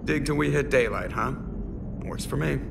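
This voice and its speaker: A man's voice: moderate pace, creaky. An actor playing a blunt, wisecracking male marine in a video game. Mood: joking. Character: brash tough male marine, joking. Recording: game audio with background rumble.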